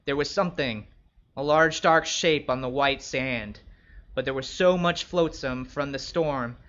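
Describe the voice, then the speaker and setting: A man playing a wry, wary young escort who narrates his own story in measured, descriptive literary prose. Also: mid-range voice, fairly bright tone, muffled recording, lightly acted. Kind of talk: first-person literary narration.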